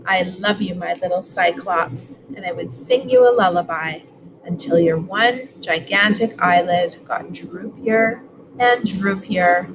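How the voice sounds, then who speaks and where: A woman's voice: mid-range voice, background noise. A woman, reading a picture book aloud at home. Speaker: school superintendent reading aloud. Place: living room sofa, indoors. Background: music.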